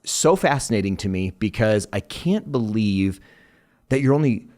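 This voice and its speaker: A man hosting a podcast interview in a somewhat low voice. He praises his guest with enthusiasm and builds his questions out at length before handing over the floor. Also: animated, moderate pace, darkish tone. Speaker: podcast host.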